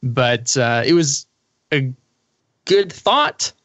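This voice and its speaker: A man's voice: mid-range voice, very animated, extremely slow, a bit bright, no room sound. A man on a podcast panel, talking casually about gaming in a rambling, explanatory way.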